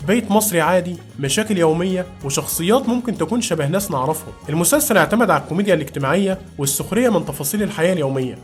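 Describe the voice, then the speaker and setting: Rapid-fire Egyptian man, high voice. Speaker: video narrator. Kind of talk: informal scripted review narration.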